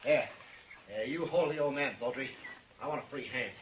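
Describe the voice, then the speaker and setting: An actor playing a nervous, hostile young outlaw in a 1950s radio western, curt and menacing. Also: male voice, medium pitch, moderate pace, close to an everyday voice. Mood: tense, sneering. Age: young adult. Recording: dull, faintly noisy radio recording.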